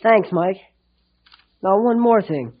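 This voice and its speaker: A man's voice: high voice, lively intonation, slow. An actor playing a contrite, earnest boy who wants friends, talking plainly in an old-time radio drama. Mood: contrite, determined. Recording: dull vintage radio recording.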